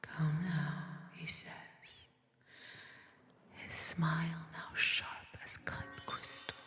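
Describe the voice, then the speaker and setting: Female ASMR narrator: whispered, unhurried, crisp bright edge, close binaural mic in a reverberant wash. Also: low voice, monotone. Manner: slow, hushed, deliberate delivery with careful pauses. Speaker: ASMR storyteller. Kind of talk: whispered, soft-spoken ASMR storytelling. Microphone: close binaural microphone. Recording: long reverb over music bed. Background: music.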